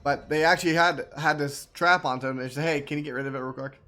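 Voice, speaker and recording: A man's voice: on the high side, fairly even intonation, fast, sharp tone. A man streaming casual, rambling commentary on game cutscenes, enthusiastic and often repeating himself.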